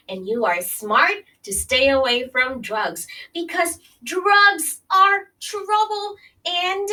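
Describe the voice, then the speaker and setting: Slow, high-voiced woman teacher, slightly distant. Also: sharp tone. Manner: addresses young listeners directly with friendly check-ins like "okay?" and "right?". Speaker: teacher reading aloud to children. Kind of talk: read-along children's health lesson, pausing to explain and question.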